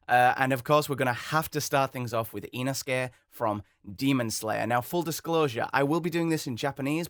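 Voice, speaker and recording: A man's voice: medium pitch, fairly bright tone, no echo, clean studio sound. A young man chatting casually as host of a voice acting video, rambling and self-deprecating. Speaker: voice acting YouTuber. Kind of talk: casual chat.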